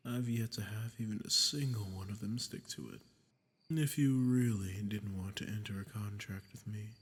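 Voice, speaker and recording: A man playing a smug, sadistic archdemon in a scripted audio roleplay, speaking to the listener with condescending menace and breathy murmurs. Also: on the low side. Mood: taunting. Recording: long cavernous reverb.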